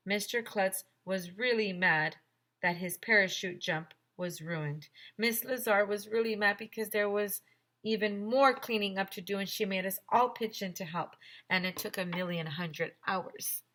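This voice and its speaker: A woman narrates a children's story in the style of a teacher reading aloud. Her bright, forceful voice sits at an average pitch, expressive and emphatic. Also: a little slow.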